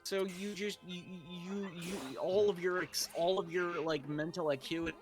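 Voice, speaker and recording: A man's voice: high voice, fairly even intonation, a little slow. A man chatting casually as a panelist, repeating and rephrasing himself emphatically as he goes. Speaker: panelist on a fan fiction reading show. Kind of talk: casual group chat. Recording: loud music behind the voice. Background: music.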